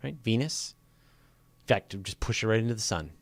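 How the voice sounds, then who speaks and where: A man's voice: on the low side, expressive, moderate pace, gravelly, dry recording. A man hosting a casual live question-and-answer show, talking in an informal, joking, conversational way. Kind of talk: casual live Q&A chat with viewers.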